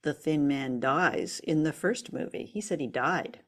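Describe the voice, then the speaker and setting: A woman's voice: low-pitched, measured pace. A woman hosting a radio show and podcast in casual, engaged conversation, agreeable and inquisitive. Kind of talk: casual chat about old radio drama.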